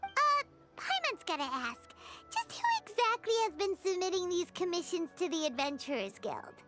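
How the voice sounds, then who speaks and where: Squeaky, excitable tiny fairy. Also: female voice, normal speed. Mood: incredulous. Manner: blunt, expressive, third-person self-reference. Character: tiny excitable female fairy companion, childlike and cheeky. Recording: loud music behind the voice.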